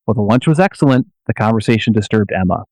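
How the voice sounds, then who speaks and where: A man narrating a scripted drama as storyteller, with deliberate, solemn phrasing. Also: lowish voice, animated, dark tone, dry recording, poor audio.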